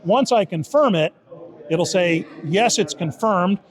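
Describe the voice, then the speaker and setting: A man's voice: high-pitched, lively intonation, takes it slowly, a crowd in the background. A man giving an informal, step-by-step demo and explanation of how something works. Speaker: beta test supervisor giving a product demo.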